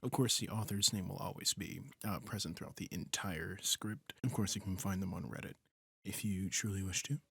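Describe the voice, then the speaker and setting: Low-voiced man, earnest and gravelly. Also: steady tone, brisk, a bit bright, dry recording. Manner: earnest, apologetic. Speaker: audio roleplay creator. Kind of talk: casual thank-you outro to listeners.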